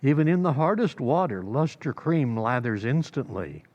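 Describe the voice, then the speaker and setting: A man's voice: medium pitch, very animated, moderate pace, darkish tone, dull audio. A man with an American accent announcing and reading commercials in an old-time radio style.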